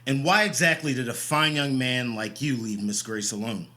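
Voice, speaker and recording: An actor playing a sardonic, insinuating police detective in a radio play, needling and mock-polite. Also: male voice, mid-range voice, moderate pace, pushed and bright, natural voice. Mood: probing, mock-polite.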